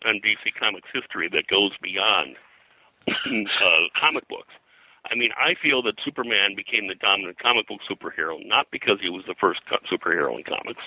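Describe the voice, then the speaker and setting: A man's voice: on the low side, sharp tone, dry recording, rough recording. An older man talking as an interview guest, reminiscing in conversational, detailed stories with digressions. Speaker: interview guest, pop culture historian and comics artist.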